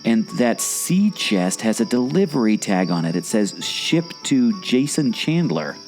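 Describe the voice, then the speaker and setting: Naive, punning male sidekick played by a man, mid-pitched with lively swings, for audio drama. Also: measured pace, faint music behind. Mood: puzzled. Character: naive, curious male sidekick fond of corny puns. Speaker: curious companion. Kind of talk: scripted audio drama dialogue.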